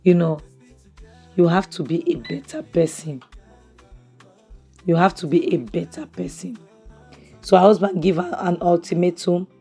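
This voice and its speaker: A woman, hoarse from a cough, chatting through a casual review of a drama episode at home, opinionated and full of restarts. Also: fairly low pitch, a little slow, long pauses, mellow, soft background music. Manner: chatty and opinionated, many restarts and "you know". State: hoarse from a cough, losing her voice. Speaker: series review vlogger. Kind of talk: casual spoken review recap. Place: home, near a kitchen appliance.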